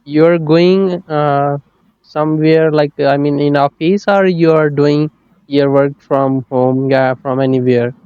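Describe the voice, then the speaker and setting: Smooth-voiced Indian man, slightly lo-fi. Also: fairly high pitch, level tone, takes it slowly, dark tone, dry recording. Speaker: host, interviewer. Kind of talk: casual English conversation.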